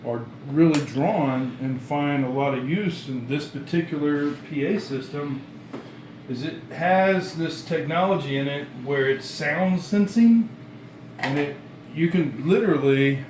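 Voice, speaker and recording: A man giving a casual, halting demonstration of sound gear, often repeating and rephrasing himself. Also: on the high side, distant mic. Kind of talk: casual explanatory demonstration chat. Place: dining room at home, indoors. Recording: roomy, noisy home recording off mic.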